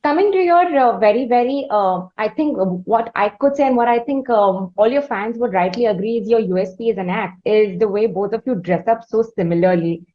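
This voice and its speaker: A woman interviewing in a conversational way, with deliberate, wordy, halting phrasing. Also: a little high, dull audio. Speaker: interviewer, podcast host.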